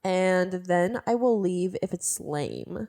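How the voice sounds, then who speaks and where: A woman's voice: on the low side, animated, slow pace, vocal fry, dark tone. A young woman, tipsy and giggly, talking playfully and rambling as a podcast guest, a native Russian speaker. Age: young adult. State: drunk. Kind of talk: tipsy casual podcast chat.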